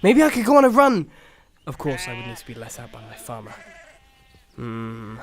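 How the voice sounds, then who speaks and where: A man's voice: medium pitch, wildly swooping pitch, normal speed, a bit bright. A man playing a mopey, anxious horse who dreams of music, rambling and sarcastic in an audio story. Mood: bored, sarcastic. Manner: sighing, rambling self-talk, sarcastic. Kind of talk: cartoon-like audio story acting.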